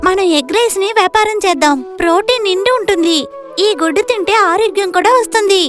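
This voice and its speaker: A woman voicing a determined, spirited young daughter in an animated moral story. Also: very high-pitched, fast talker, soft background music. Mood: eager selling. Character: determined, spirited young daughter who dreams of being a chef.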